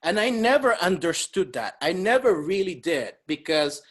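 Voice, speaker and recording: A man's voice: high voice, sing-song, moderate pace, a bit bright. A man from the Dominican Republic, a podcast guest talking casually, rambling with many fillers and laughing often. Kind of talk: casual chat.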